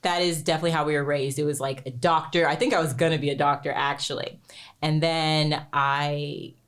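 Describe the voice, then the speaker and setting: A woman's voice: low voice, fairly even intonation, brisk, fairly bright tone. A young woman attorney from Texas, chatting casually as a podcast guest and laughing easily.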